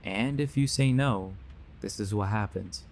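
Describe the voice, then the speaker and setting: A man's voice: fairly low pitch, unhurried, a little hiss or hum. A man playing a grave, earnest believer warning others of a sinister conspiracy in an audio drama. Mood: grave, urgent. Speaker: voice actor.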